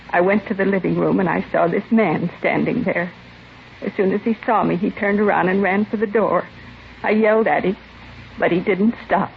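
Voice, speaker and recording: An American woman acting the part of a grieving, resigned mother in her late forties, subdued and halting, in a 1950s radio drama. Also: fairly low pitch, vocal fry. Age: middle-aged. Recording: dull, noisy vintage radio recording.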